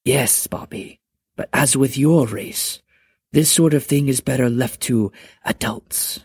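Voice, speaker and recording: Woman putting on a deep gravelly ancient dragon. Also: darkish tone, no echo, exaggerated voice. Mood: solemn. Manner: slow, commanding, measured. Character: huge ancient male dragon parent, stern. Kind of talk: audiobook character voice.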